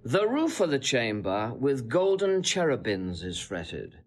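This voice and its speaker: An actor playing a sly, boastful gentleman seducer in classical Shakespearean audio drama, insinuating and relishing every detail. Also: medium pitch, wildly swooping pitch, unhurried. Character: sly, boastful Italian gentleman, smooth-tongued seducer taunting a husband.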